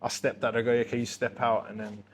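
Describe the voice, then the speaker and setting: A man's voice: medium pitch, little pitch movement, fast. A British man telling anecdotes as an interview guest, conversational and slangy, acting out remembered exchanges. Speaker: guest, boxing MC recalling his career. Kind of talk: casual podcast interview anecdotes. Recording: noisy background with ambient sound.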